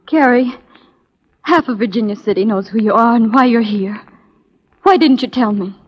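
A woman's voice: on the high side, moderate pace, close to an everyday voice. A woman playing an earnest, torn young spy in a 1940s radio drama, in an intense, melodramatic manner. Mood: worried pleading. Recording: muffled 1940s radio recording.

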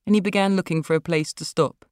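A woman narrates literary fiction as its author. Her voice is on the low side, and the recording is close and dry. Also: fairly even intonation, quick pace, darkish tone, dry recording. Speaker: author reading own fiction.

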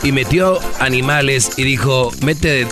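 A man's voice: medium pitch, measured pace, over loud music. A man co-hosting a comic radio show, playful and rambling as he tells jokes.

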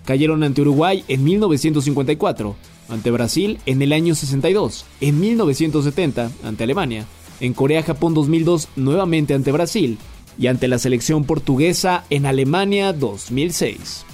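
A man hosting a sports news podcast, reading the day's football stories in a brisk, scripted way. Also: on the high side, faint music behind. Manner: brisk, even headline delivery.

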